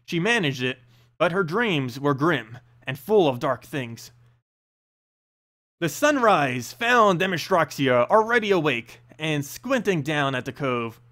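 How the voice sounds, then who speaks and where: High-pitched, animated male storyteller. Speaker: reader of fantasy stories, channel host. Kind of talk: scripted story narration, read aloud.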